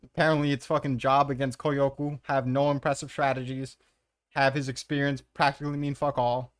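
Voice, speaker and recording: A man hosts a casual ranking commentary in a mid-pitched voice. He is blunt and opinionated, ranting with open exasperation and peppering his talk with swearing. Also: level tone, a little fast. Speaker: host of a manga ranking commentary.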